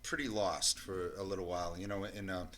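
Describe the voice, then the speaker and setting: A man who is a guest musician and producer, talking in halting sentences with fillers and restarts. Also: deep voice, flat delivery, a bit bright. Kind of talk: casual interview chat.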